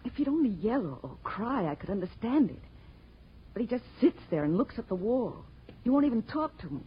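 A woman's voice: a little high, sing-song, fairly quick, husky, heavily acted. An actress playing an anxious, pleading, earnest woman in a 1940s radio crime drama. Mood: worried. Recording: muffled old radio recording.

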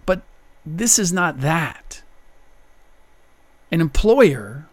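A man delivering an opinion monologue, deliberate and emphatic, pressing hard on his key points. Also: on the high side, big pitch swings, slightly rough, dry recording. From United States. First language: German. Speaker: commentator.

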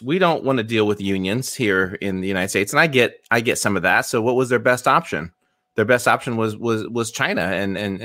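A man's voice: lowish voice, fairly bright tone, no echo. A man in his fifties, co-hosting a casual political podcast chat, often repeating words as he argues his point. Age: 50s. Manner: repeats words, speculative and emphatic. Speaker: podcast co-host.

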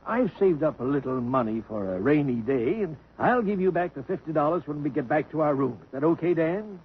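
A man's voice: a little high, lively intonation, natural voice. An actor playing a cheeky, folksy old grandfather who runs a soda fountain, in a 1950s radio drama. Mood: wry. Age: older. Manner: wry, folksy, quick comebacks. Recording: dull old radio broadcast, faint hiss.

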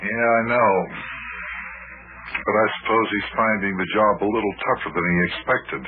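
A man playing an easygoing, measured detective in an old-time radio serial, reasonable and slightly sheepish. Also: lowish voice, slight background noise, lightly acted. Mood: reasonable, rueful. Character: steady, easygoing big male detective, storyteller and partner. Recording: narrow-band old radio recording.

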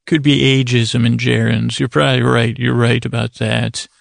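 Gravelly man rambling in fumbling stops and starts. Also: mid-range voice, little pitch movement, normal speed, dry recording, clean studio sound. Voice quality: gravelly, creaky voice. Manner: stumbling, stuttering, meandering, repeats words; whimsical, absurd humour, acting out imagined conversations. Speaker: podcast host. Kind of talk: rambling, improvised bedtime-story podcast monologue.

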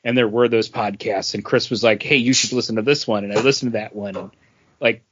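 A man's voice: on the low side, fairly even intonation, fairly quick, a bit bright, muffled recording. A man telling a casual anecdote in an informal podcast conversation. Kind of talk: casual chat.

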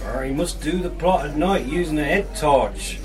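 A woman's voice: low-pitched, animated, moderate pace, distant mic, music in the background, pitched below the performer's own voice. A woman voicing an eager, conspiratorial male gardener in an audio storybook. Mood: eager speculation. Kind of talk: audio storybook character dialogue.

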